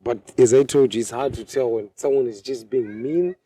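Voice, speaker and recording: Brief: man in his 20s from Zimbabwe, Shona native, interview guest, dark mellow voice, halting and self-repeating. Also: mid-range voice, husky, dry recording. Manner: hesitant, repeats words while searching. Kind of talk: casual interview answers.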